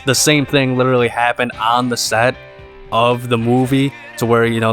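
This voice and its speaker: A man's voice: mid-range voice, fairly bright tone. A young man giving casual, rambling game commentary as an enthusiastic fan hosting his own video. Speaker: gaming video host.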